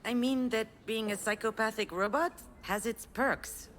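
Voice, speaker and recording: An actress playing a self-deprecating, conciliatory sister in earnest, conversational game dialogue. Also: female voice, a little high, measured pace, a bit bright, slight background noise. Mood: reassuring.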